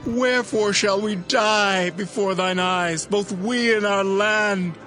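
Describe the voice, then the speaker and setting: A man's voice: high-pitched, fairly even intonation, slow, bright, forceful tone, heavily acted. A man playing a desperate, starving Egyptian who beseeches his lord in a dramatized scripture reading. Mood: desperate, beseeching. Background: music.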